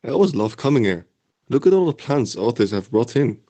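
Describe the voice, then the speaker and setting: A man playing an excitable teenage wizard schoolboy in an amateur audio drama, with dramatic exclamations. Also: medium pitch, brisk. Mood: excited, playful. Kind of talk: hobby audio drama acting.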